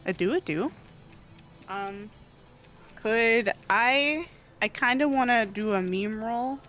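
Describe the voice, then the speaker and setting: A woman's voice: a little high, slow pace, fairly bright tone. A woman playing a tabletop game, chatting casually and narrating her character in a giggly, questioning way.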